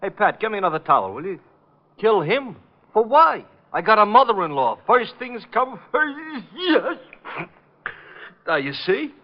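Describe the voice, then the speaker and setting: High-pitched, fussy Yiddish-inflected complainer. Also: male voice, sing-song, measured pace. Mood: agitated, complaining. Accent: Yiddish-inflected English. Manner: agitated, plaintive, sing-song. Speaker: radio actor. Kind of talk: old-time radio comic acting. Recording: muffled vintage radio recording.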